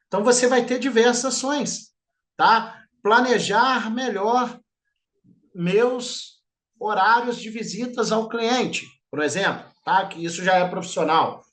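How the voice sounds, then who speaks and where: A man's voice: high-pitched, fairly bright tone. Chatty middle-aged man teaching a class. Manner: chatty, informal, peppers speech with "tá?". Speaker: instructor. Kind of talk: live online class, lecturing and questioning students.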